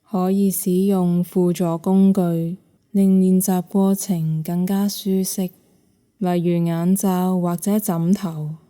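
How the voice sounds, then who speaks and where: A woman with a Hong Kong Cantonese accent leads a guided meditation. Her voice is low and very mellow, with a deeply relaxed, dark tone. She speaks slowly and softly, leaving long, soothing pauses between phrases. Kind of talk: guided yoga nidra meditation narration.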